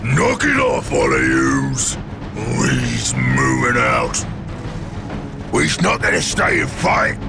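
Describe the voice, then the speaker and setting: An actor playing a huge, brutish orc gang boss in a video game, with a guttural voice and crude, bullying grammar. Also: male voice, mid-range voice, wildly swooping pitch, takes it slowly, full character voice. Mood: bullying, roaring. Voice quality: gravelly, guttural. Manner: crude cockney-style broken grammar, barking orders. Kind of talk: video game cutscene acting. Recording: loud music behind.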